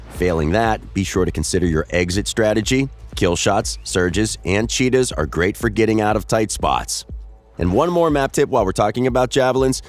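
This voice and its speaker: A man giving scripted voice-over narration of game strategy tips in a polished, upbeat delivery. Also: on the low side.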